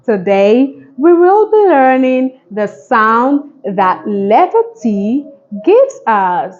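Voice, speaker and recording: A woman with a high voice teaches phonics to small children at a very slow pace. She is encouraging and sing-song, giving simple instructions and repeating them. The recording sounds a little dull. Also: big pitch swings, a little mellow. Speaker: phonics teacher and channel host. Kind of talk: children's phonics lesson, addressed to young viewers.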